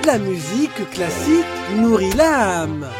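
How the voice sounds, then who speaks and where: A woman's voice: medium pitch, very animated, takes it slowly. A woman voicing a refined, serene female pet dog in a children's cartoon. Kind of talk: French-dubbed children's cartoon acting. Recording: loud music behind.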